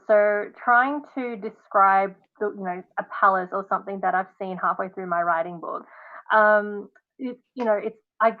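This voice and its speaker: A woman moderating a casual panel, summing up answers and putting questions to the guests. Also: medium pitch. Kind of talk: casual interviewing, summarising answers. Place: online video panel. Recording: dull, lo-fi online call audio.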